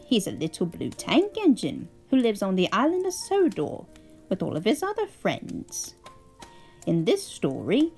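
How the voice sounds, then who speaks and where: A woman's voice: medium pitch, breathy edge, exaggerated voice. Woman voicing a doting English granny. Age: older. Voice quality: sing-song, gently laughing, prim. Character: kindly elderly English grandmother telling a bedtime story. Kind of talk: bedtime storytelling to a grandchild.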